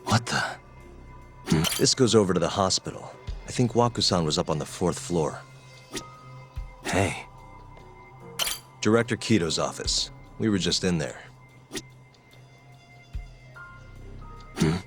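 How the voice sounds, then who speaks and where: A laid-back, confident investigator for a video game drama. His voice sits low and carries a wry edge, with faint music behind it. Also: expressive, normal speed, pauses often. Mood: musing. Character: cool, confident ex-lawyer investigator, adult man. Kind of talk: English-dubbed video game drama acting.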